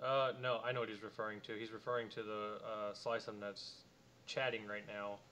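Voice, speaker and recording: A man's voice: fairly low pitch, flat delivery, moderate pace. A man in his late twenties casually explaining a tank game while playing, as stream co-host. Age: 20s. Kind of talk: casual gameplay commentary. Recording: loud background noise from game audio.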